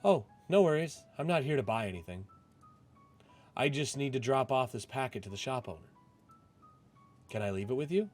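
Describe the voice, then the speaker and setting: A man puts on a polite young-sounding woman customer for a visual novel. The voice is lifted high and light, breezy in manner, over music. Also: expressive, moderate pace, pauses often, a little mellow, natural voice. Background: game music.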